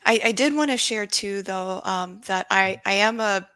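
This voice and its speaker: A woman's voice: mid-range voice, normal speed, pushed and bright, clear, resonant tone, no room sound. A woman from Japan speaking as a guest expert in an interview, earnest and explanatory, choosing her words with care and consideration.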